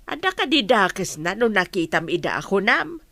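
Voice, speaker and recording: A woman's voice: mid-range voice, big pitch swings, moderate pace, fairly bright tone, dry recording. A woman playing a cautious, questioning village mother in a radio drama. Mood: concerned. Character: wary village mother advising her family. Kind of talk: Ilocano radio drama acting.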